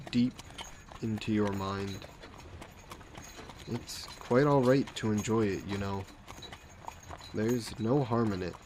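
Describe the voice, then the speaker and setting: A man playing a suave, possessive vampire lord in an intimate fantasy audio roleplay, speaking softly and coaxingly with condescending affection, as if hypnotizing a captive. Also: lowish voice, slight background noise. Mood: soothing, hypnotic. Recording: steady background carriage and rain ambience. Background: horse-drawn carriage and rain sound effects.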